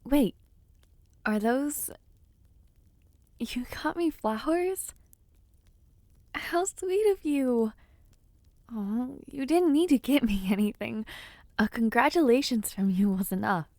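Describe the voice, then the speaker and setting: A woman playing a giddy, bashful young noblewoman in an intimate romance audio roleplay, affectionate and teasing, with soft hesitations. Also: on the high side, animated, slow, long pauses, dry recording, clean studio sound. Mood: bright, welcoming. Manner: soft hesitations, gentle teasing, shy giggles. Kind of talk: intimate scripted audio roleplay, speaking to the listener.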